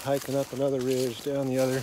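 A man's voice: medium pitch, fast. A man in his thirties chatting casually while walking in the woods, filming himself on a phone held at arm's length. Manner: relaxed, chatty, joking with his son. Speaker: vlogger and father. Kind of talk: casual outdoor vlog chat. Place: outdoors in summer woods. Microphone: handheld phone at arm's length. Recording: outdoor insect noise behind. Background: crickets and insects.

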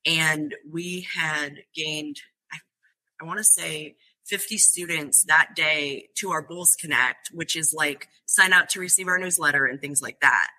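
Brief: young woman, club founder guest on a podcast, earnest, bright tone, lots of "um". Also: low-pitched, unhurried, low-quality recording. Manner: earnest, many fillers and restarts. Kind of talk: podcast interview answers.

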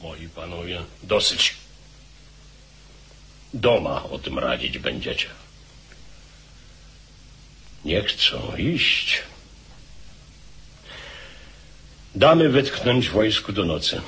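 An actor playing an authoritative senior army commander in a radio drama, speaking in a measured, commanding way. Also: male voice, sits low, sing-song, sharp tone, heavily acted. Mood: weary authority.

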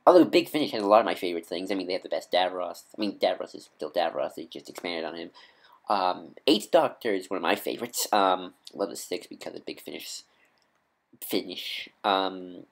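A man with a nasal voice giving casual, off-the-cuff fan commentary as a vlogger, rambling and halting as he corrects himself. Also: low voice, gravelly, fairly bright tone. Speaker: YouTube vlogger and podcaster.

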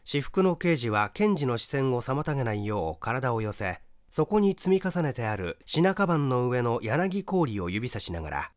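A man reading a literary mystery as dramatised narration, in a formal, measured storytelling manner. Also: mid-range voice, very animated, dry recording, studio quality. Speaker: audiobook reader, stage actor.

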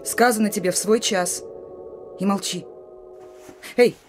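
An actress playing a stern, suspicious young partisan sentry in a radio play, giving brusque, folksy orders. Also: female voice, mid-range voice, a little slow, lightly acted. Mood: stern, bossy. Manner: brusque, rustic colloquial commands. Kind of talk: Russian radio play acting. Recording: radio drama with music.

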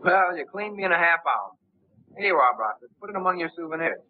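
An actor playing a reckless, cocky gambler with a flippant manner in a 1930s film. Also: male voice, a little high, animated, fast, close to an everyday voice. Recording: noisy, muffled old 1930s film soundtrack.

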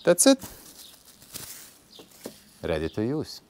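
Deep-voiced man vlogging outdoors. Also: wildly swooping pitch, slow. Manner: friendly, addresses viewers as guys, non-native phrasing. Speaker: vlogger testing a battery. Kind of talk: scripted voice-over intro, then casual on-camera chat. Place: outdoors on a stone barn patio. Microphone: clip-on lapel mic.

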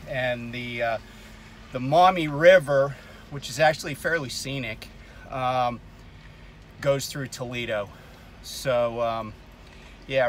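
A man's voice: mid-range voice, slight background noise. A man in his fifties, a truck driver hosting his own vlog, rambling with many ums in a projecting voice from inside his parked truck cab. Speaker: truck driver vlogger, host. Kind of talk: casual talking-head vlog. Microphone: camera on the dash at arm's length.

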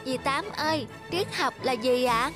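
A woman voicing a high, earnest little boy. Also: measured pace. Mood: eager. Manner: childlike, earnest. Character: six-year-old boy, sweet and wistful. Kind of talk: cartoon voice acting. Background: music.

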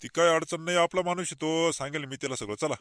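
A man's voice: a little high, quick pace, fairly bright tone, no echo, natural voice. A man playing a practical, persuasive village headman in a comic cartoon, with a rural Maharashtrian accent and an insistent, colloquial manner. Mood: businesslike.

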